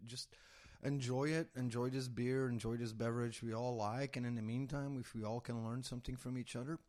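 A man from Belgium, a beer educator and podcast guest, explaining in a relaxed, unhurried way. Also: mid-range voice. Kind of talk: casual interview talk.

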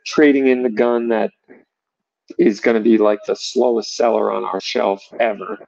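Guy w/ gravelly fry voice explaining stuff casually in an interview, kinda muffled lo-fi sound. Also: fairly low pitch, steady tone, moderate pace, a little mellow, no echo. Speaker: gun shop owner, podcast guest.

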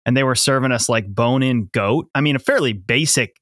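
A man's voice: medium pitch, a bit bright, dry recording, clean studio sound. A man co-hosting a podcast, reading sponsor ads with profanity and chatting casually with guests. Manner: brash, profane, fast ad-read delivery. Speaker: podcast co-host. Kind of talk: casual podcast chat with ad reads.

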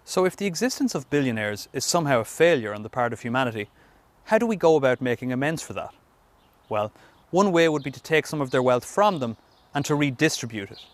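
A man's voice: mid-range voice, animated, fast. A man delivering scripted narration for a business news report. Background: background music.

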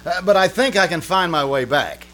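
A man's voice: high-pitched, lively intonation, moderate pace, pushed and bright. A man playing a distracted, defensive husband in an old-time radio comedy, hesitant and exasperated.

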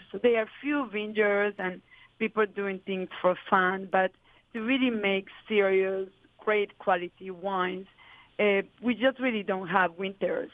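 A woman's voice: medium pitch, no echo, narrow, tinny audio. A woman from Colombia, a winemaker answering interview questions in earnest, flowing explanations with fillers.

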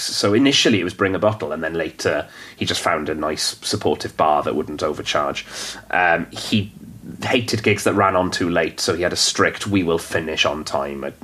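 A low-pitched man, a comedian whose first language is Welsh, speaking English in easy podcast chat. He talks in long, winding stretches with self-deprecating asides. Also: a bit bright. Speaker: comedian guest.

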